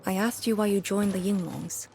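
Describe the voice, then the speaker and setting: A woman's voice: medium pitch, moderate pace, creaky, dark tone, a little hiss or hum, close to an everyday voice. A woman voicing a composed, blunt, brooding woman warrior in a video game, with a dry, understated delivery. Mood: reflective.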